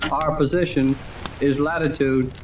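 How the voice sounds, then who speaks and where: A man's voice: fairly high pitch, a little slow, lightly acted. Man acting eager boyish radio operator, old serial. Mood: businesslike. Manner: excitable, slangy, boyish. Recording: noisy echoey 1930s radio transcription.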